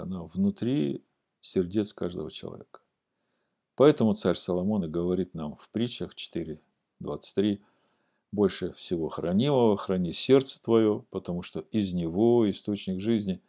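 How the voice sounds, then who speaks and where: Low, mellow male scripture teacher. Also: creaky. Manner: didactic, deliberate, stresses and spells out key words. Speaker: religious teacher giving a scripture lesson. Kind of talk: sermon-like scripture teaching, mixing explanation and reading aloud.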